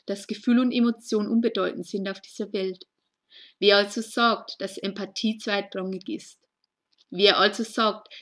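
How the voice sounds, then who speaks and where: A woman reading her own poem in a slow, deliberate, earnest way, with short phrases set apart by pauses. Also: medium pitch, a bit bright. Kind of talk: spoken poetry reading.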